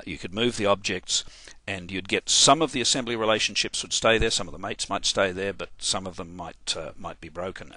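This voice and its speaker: A man with an Australian accent walks through a software demo as a trainer, pausing often with "uh" and restarting his sentences. His voice sits low but sounds bright and forward. The recording is close and dry. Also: no room sound. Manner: casual, halting, many fillers and restarts. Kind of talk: screen-recorded software tutorial.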